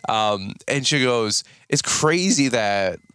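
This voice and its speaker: An American man in his thirties hosting a podcast in casual, rambling chat, his voice a little raspy from just waking up. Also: medium pitch, lively intonation, slow, bright, forceful tone, no room sound. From United States. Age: 30s. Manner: chatty, rambling, folksy. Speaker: podcast host.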